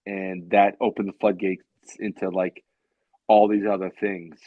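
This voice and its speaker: A man's voice: deep voice, little pitch movement, a little slow, no room sound. Late 30s guy just chatting on a show, rambly, repeats himself, dry kinda dull mic. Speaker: co-host of a beer hangout show. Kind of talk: casual chat.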